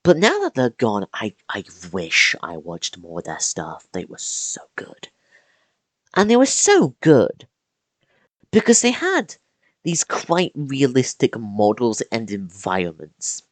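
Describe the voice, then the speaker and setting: Video-essay narrator, mid-pitched, lively swings in pitch, dramatic emphasis, casual asides, close dry recording. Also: slow, no room sound.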